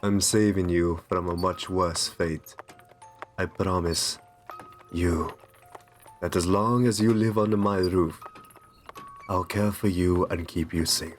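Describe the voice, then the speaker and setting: A man playing a courteous, possessive vampire master in an ASMR roleplay, soft-spoken, soothing and reassuring with genteel endearments. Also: sits low, fairly even intonation, slow, pauses often. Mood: tender, solemn. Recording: music under the voice. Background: background music.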